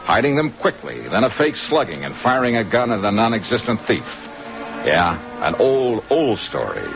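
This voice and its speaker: An actor playing a wry, hard-boiled American insurance investigator with dry, clipped delivery in an old radio detective drama. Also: male voice, lowish voice, big pitch swings, normal speed, over music. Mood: world-weary narration. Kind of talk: 1950s radio detective acting and narration. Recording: dull vintage radio recording.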